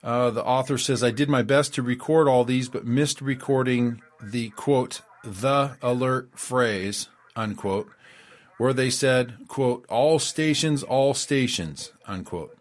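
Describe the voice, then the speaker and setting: A man's voice: mid-range voice, slow. Guy hosting a radio show, midrange voice, rambles, lots of uh and restarts. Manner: rambling, many fillers and restarts, reads out quotes. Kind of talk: casual spoken commentary.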